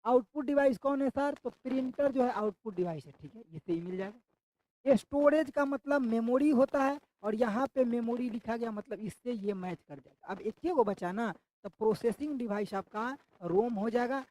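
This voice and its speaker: A man's voice: very high voice, normal speed, a little mellow, no room sound, poor audio. A man with a sore throat teaches an online exam-prep class, repeating each question back to his students.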